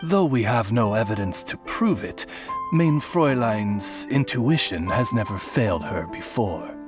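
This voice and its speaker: Smooth polite butler-ish sidekick, lowish voice, music behind. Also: male voice, lively intonation, unhurried. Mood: courteous. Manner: formal, smooth, courteous. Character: courtly loyal male companion to the princess. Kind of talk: video game voice acting.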